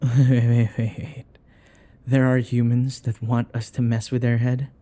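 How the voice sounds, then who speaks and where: A man voicing a world-weary, wary vampire in a breathy, gravelly, languid voice for an ASMR roleplay. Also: little pitch movement, unhurried, mellow, lightly acted. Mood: amused disbelief. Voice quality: breathy, gravelly, low-key.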